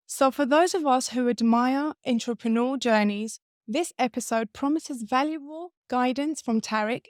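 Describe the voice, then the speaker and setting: A young woman hosting an interview podcast, curious and lively, asking follow-up questions. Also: on the high side, no echo, clean studio sound. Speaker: podcast host. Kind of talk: interview podcast, scripted intro then chat.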